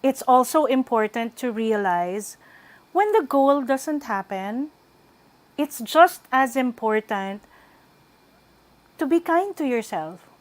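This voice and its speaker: A woman with a Filipino accent speaks slowly and deliberately, with a slightly higher voice than most women. She gives thoughtful wellbeing advice, mixing English with Tagalog and pausing to find her words. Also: lively intonation. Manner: unhurried, mixes English with Tagalog, thoughtful pauses. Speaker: wellbeing coach guest. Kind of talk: radio interview, reflective advice.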